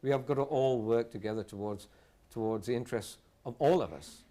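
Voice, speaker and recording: A man's voice: mid-range voice, brisk, warm tone. A man speaking as a guest on a TV panel, earnest and emphatic with some fillers. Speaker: studio guest analyst. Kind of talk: TV panel discussion.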